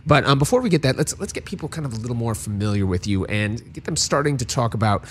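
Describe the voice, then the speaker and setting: A man hosting a radio interview in a studio, with hype DJ shout-outs and a casual, upbeat hosting manner. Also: medium pitch, lively intonation, fast talker, slightly rough. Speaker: radio host and DJ.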